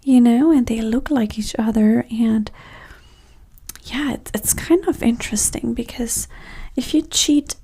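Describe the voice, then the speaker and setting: A woman doing a soft-spoken, often whispered ASMR roleplay as a vain, flirty date with a breathy, sassy, condescending manner. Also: a little high, measured pace.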